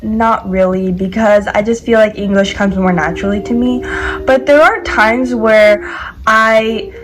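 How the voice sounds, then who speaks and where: A woman's voice: mid-range voice, steady tone, measured pace, rough recording. Chatty teenage girl vlogging. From United States. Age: teens. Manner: chatty, lots of "like" and "um" fillers. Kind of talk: casual chat to camera. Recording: faint background music.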